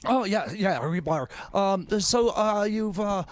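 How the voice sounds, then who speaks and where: Brief: scatterbrained late-night host for radio comedy, high-pitched, dithering, stumbling over his words. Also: animated, takes it slowly, dry recording, clean studio sound. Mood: flustered, chatty. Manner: hesitant, stammering, fumbles names. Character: bumbling, distracted male late-night talk show host. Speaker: talk show host. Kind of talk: comedy radio theater talk show parody.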